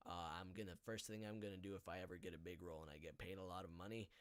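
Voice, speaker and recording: A teenage male high school student hosting a casual podcast, talking in an earnest, halting, rambling way. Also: fairly even intonation, no room sound. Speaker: podcast host. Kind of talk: casual live podcast chat.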